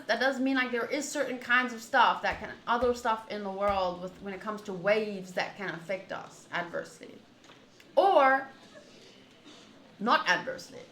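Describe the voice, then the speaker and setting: A woman streaming a visual novel, reading text aloud and thinking out loud in an animated, rambling way. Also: a little high. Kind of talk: reading game text aloud with casual commentary. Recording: roomy echo, faint background noise.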